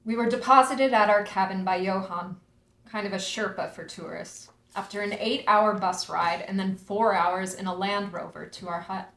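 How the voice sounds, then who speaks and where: A woman's voice: medium pitch, normal speed, fairly bright tone, natural voice. An actress reading Maggie, a reflective woman who speaks hesitantly, at a screenplay table read. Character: Maggie, wistful woman on a remote trip. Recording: roomy echo.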